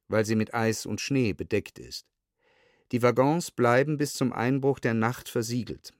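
A man reading a scripted documentary audiobook in a measured, sober way with precise enunciation. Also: mid-range voice, dry recording, studio quality. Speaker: narrator reading historical documents. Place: studio.

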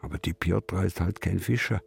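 Old fisherman, creaky low voice, brooding, stubborn, radio drama storytelling. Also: a little fast, faint music behind, full character voice. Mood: worried, grumbling. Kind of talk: literary radio drama narration in third person.

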